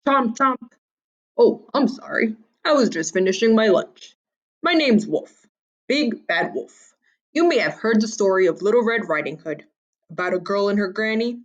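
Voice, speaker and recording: A woman's voice: medium pitch, lively intonation, moderate pace, lo-fi sound, close to an everyday voice. A woman voicing a sly, hungry storybook wolf who narrates his tale to children in a wry, theatrical, confiding way. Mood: mock-innocent, confiding.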